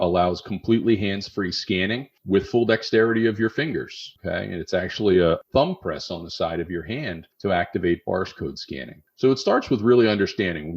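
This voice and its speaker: A man's voice: low-pitched, slightly rough, dull audio. A middle-aged man with a Philadelphia accent, talking through warehouse technology as a podcast guest, often checking in with "okay?". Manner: conversational, often adds "okay?" to check understanding. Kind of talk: explanatory podcast interview.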